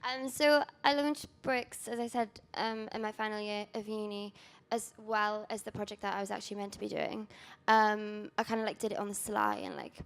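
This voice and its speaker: A woman's voice: mid-range voice, normal speed. A young woman in her twenties, a native Welsh speaker, presenting her magazine at a panel talk in a casual, rambling way full of ums and restarts. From Wales. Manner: casual, rambling with many ums and self-corrections. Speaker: magazine founder giving a talk.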